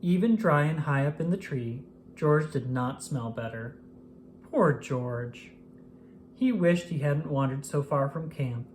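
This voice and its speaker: An expressive storybook narrator reads aloud for young children with a pitch that moves up and down freely. The delivery is scripted and acted out, over faint background noise. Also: takes it slowly. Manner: expressive, acts out exclamations and dialogue.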